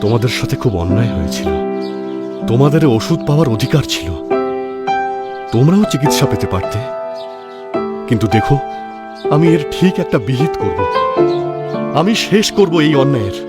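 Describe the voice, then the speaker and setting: A man playing an earnest, shaken doctor in a cartoon ghost story, speaking hesitantly, with loud music behind him. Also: medium pitch, very animated, moderate pace, pauses often, lightly acted. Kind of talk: cartoon voice acting.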